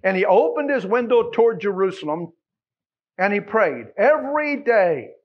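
A middle-aged American man preaching a Baptist sermon, emphatic, moving between quiet asides and loud exclamations and quoting scripture. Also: high voice, sing-song, moderate pace, highs cut off. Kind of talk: Sunday sermon with scripture quotations. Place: small church sanctuary, at the pulpit.

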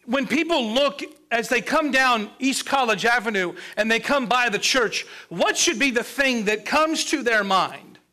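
High-voiced bright pastor preaching. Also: male voice. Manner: preaching with steady build-ups and pauses, gesturing at a pulpit. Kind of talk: sermon. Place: church sanctuary with a colorful children's stage set.

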